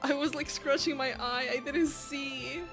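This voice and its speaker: Higher-voiced woman game streamer over music. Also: fairly even intonation, measured pace. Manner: short, informal interjections. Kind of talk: casual live reaction commentary.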